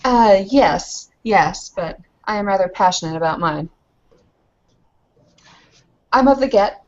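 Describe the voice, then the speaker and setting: A woman playing an eager, proud female werewolf newcomer in a roleplaying game, excitable and halting. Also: mid-range voice. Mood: proud, flustered. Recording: dull lo-fi voice recording.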